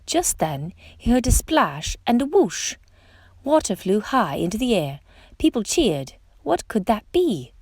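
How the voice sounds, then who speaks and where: A woman reads a children's story aloud in an expressive storytime style. Her pitch moves a great deal, with dramatic emphasis on exclamations and questions. The recording is dry, with hardly any room sound around her voice. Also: measured pace, breathy edge, no echo. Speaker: storytime reader. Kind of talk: read-aloud children's picture book narration.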